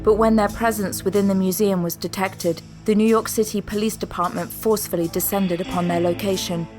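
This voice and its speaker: A woman's voice: medium pitch, fairly even intonation, a little fast, a little mellow. A woman reading scripted dramatic narration for an audio drama. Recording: music under the voice. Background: background music.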